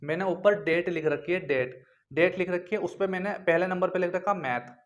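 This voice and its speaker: A man's voice: sits high, normal speed. A young man giving informal study instructions to viewers, repeating himself and often adding little check-in tags. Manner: repetitive, frequently checks in with tag phrases. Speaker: exam-preparation tutor. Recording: dull lo-fi sound.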